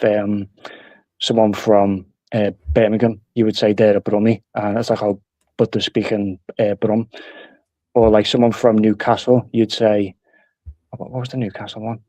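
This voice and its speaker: A man with a Scouse accent from Liverpool, explaining things in a relaxed casual chat. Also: lowish voice, dry recording. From Liverpool, England. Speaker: guest on a live stream.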